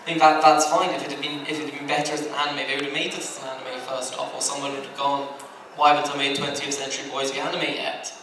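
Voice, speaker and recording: Higher-pitched man, flat delivery, heavy echo. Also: fast talker. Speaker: convention panelist from anime industry. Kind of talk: informal panel discussion. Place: convention panel room, picked up from afar. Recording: very echoey room, distant mic, noisy.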